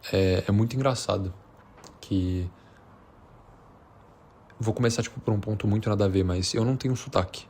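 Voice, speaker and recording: A man's voice: lowish voice, normal speed, pauses often, a little mellow, slight background noise. A man from Minas Gerais with a Brazilian accent, chatting casually and without a script, in a slangy, hesitant, rambling way. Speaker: vlogger. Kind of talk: unscripted casual chat to camera.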